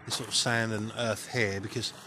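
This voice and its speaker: A middle-aged British man gives casual, off-the-cuff commentary in a halting, musing way as he looks around. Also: lowish voice, flat delivery, background noise. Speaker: vlogger. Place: outdoors on a beach below cliffs.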